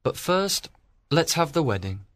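A woman voicing an excitable, kindly friar, eager and hurried, in storybook dialogue. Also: low-pitched, dry recording, pitched below the performer's own voice. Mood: soft, eager.

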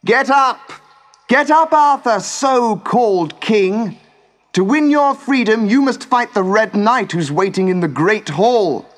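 A man's voice: lively intonation, takes it slowly. A man doing a shrieking scornful sorceress. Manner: shrill, scornful shouting. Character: spiteful sorceress jailer, woman, shouting. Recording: soft music underneath.

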